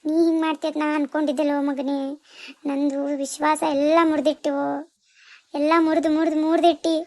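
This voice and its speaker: A woman's voice: sits high, level tone, quick pace, a little mellow, lightly acted. A woman playing an anguished, reproachful mother in a family melodrama, with a North Karnataka accent and a wailing, scolding manner. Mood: despairing. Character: anguished, scolding mother of grown children, self-pitying. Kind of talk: melodramatic family drama acting.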